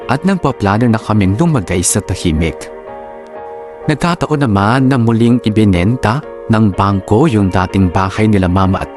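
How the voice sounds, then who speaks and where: A man as a reflective Filipino storyteller, reading a personal letter as drama narration. His pitch is low, his accent Filipino, and soft music plays behind him. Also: natural voice.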